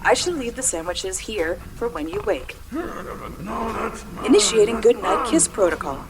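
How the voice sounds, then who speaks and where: A woman's voice: medium pitch, big pitch swings, moderate pace, crowd noise, lightly acted, poor audio. A woman voicing a cheerful, doting golem tour guide with a sweetly polite, robotic manner in an audio drama. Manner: robotic, announces each phrase type, sweetly polite. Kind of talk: audio drama character acting.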